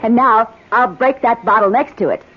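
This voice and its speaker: A woman's voice: on the high side, moderate pace, soft background music, lightly acted. An actress in a radio drama playing a spirited, outspoken, self-assured woman on a jungle expedition, with theatrical delivery. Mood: cheerful, confident. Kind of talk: 1970s American radio drama acting. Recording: muffled, noisy old radio broadcast.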